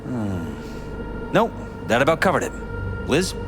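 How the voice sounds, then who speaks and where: A polished worried politician in a game, earnest n polite, voice moves up and down, music underneath. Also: male voice, mid-range voice, big pitch swings, a little slow. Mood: courteous, brisk. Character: polished, troubled male politician confiding in an investigator. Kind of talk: video game voice acting. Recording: music behind.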